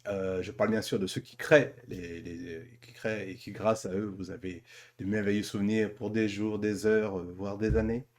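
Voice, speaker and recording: A man's voice: on the low side, level tone, moderate pace, darkish tone. A man hosting a casual conversational interview, talking in a familiar, teasing way with his guest. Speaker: show host.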